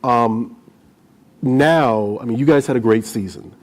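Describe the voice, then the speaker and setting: A man's voice: mid-range voice, a little slow. A man moderating a live panel discussion in an informal, conversational way.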